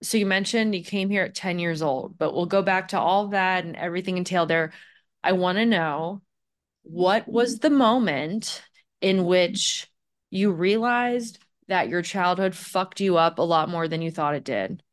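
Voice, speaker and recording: A woman's voice: lowish voice, a little slow. A woman hosting a podcast interview, chatting casually, brash and joking, swearing freely. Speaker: podcast host. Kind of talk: casual profane interview chat.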